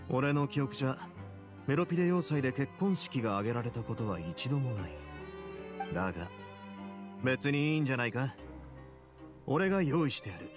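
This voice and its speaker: A man playing a cool, brooding young fortress warden in a game, speaking in a measured, grave, self-possessed way. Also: medium pitch, lively intonation, music in the background, close to an everyday voice. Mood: calm, accommodating. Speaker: game character. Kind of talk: dramatic game dialogue.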